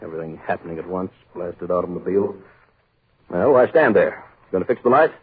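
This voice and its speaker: A man's voice: low voice, expressive, fairly quick, slightly rough, heavily acted. An actor playing a pompous, irritable wealthy middle-aged man who blusters, pleads and repeats himself, in 1940s American radio horror style. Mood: irritated, blustering. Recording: muffled old 1940s radio recording.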